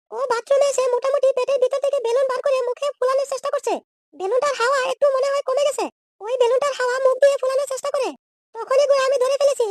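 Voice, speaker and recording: A man's voice: very high-pitched, darkish tone. A man voicing a brash, scolding, scheming young woman in a comedy cartoon. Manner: scolding, threatening, slangy insults.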